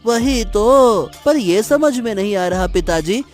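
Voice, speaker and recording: A woman voicing an earnest, anxious young village boy in an animated moral story, pleading and urgent. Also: a little high, slow, faint music behind. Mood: worried.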